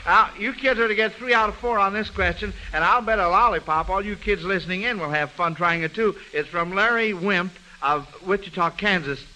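A man's voice: high voice, a little fast, few pauses, sharp tone, poor audio. A man hosting a 1940s radio quiz for children, conversational, folksy and avuncular. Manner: folksy, chuckling, repeats answers, avuncular toward children. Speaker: radio quiz show host. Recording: vintage radio broadcast, faint noise.

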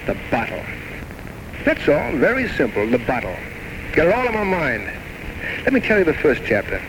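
A man's voice: a little high, wildly swooping pitch, normal speed. An actor playing a tormented, grandiose alcoholic writer in his thirties, rambling theatrically and bitterly mocking himself in an old radio drama. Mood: dreamy, boastful. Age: 30s. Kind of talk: 1940s-1950s radio drama acting. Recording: noisy old radio broadcast recording.

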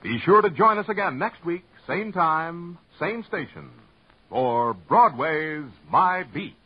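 A man's voice: a little high, expressive, slow pace, background noise. Vintage male radio announcer, formal. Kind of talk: scripted announcement. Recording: dull vintage radio broadcast with music.

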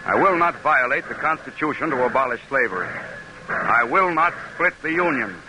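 A man acting as a resolute, proud American senator in a vintage radio play. He speaks formally and emphatically, like a practiced orator, at a medium pitch, on a noisy old recording. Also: expressive, normal speed, natural voice. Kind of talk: 1940s radio drama acting.

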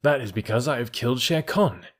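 A man's voice: medium pitch, lively intonation, fairly quick, lightly acted. A man voicing a proud, scornful herdsboy raised by wolves in a storybook reading, assured and archaic in wording. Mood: calm, defiant.

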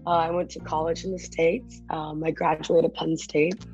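A young woman from Malaysia, a startup founder, explaining her work in a measured, businesslike way as a podcast guest. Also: deep voice, level tone, a little mellow, dull audio, soft background music. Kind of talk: casual podcast interview.

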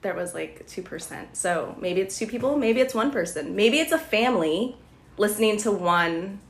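A woman's voice: medium pitch, animated, reverberant. A woman co-hosting a podcast, playful and giggly, chatting and joking casually. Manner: playful, giggly, quick to joke. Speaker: podcast co-host. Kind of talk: casual chat.

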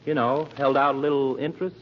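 An actor playing a gossiping American barber with a rambling, colloquial storytelling manner, in 1940s radio comedy style. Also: male voice, medium pitch, steady tone, fast, close to an everyday voice. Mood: gossipy, warning. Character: chatty, gossiping small-town male barber. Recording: muffled, noisy old radio recording.

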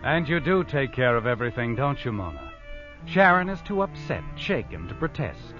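Casting: actor for male mystery narrator, 1940s radio, insinuating, big pitch swings, dull archival sound with music behind. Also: big pitch swings, normal speed, lightly acted. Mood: knowing, ominous. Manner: insinuating, dramatic. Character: knowing, accusing male narrator addressing the culprit. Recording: muffled old radio recording.

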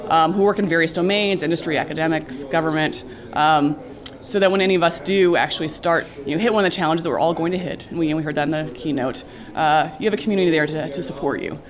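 A cybersecurity professional giving earnest advice in a conference interview, with many fillers and restarts. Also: male voice, a little high, quick pace, crowd noise.

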